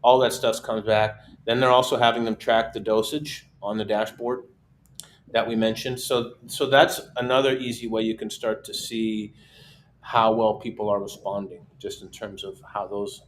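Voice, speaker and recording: A man hosting a casual webinar chat, talking in a relaxed, steady way with frequent restarts. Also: on the low side, normal speed, slight background noise. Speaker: host.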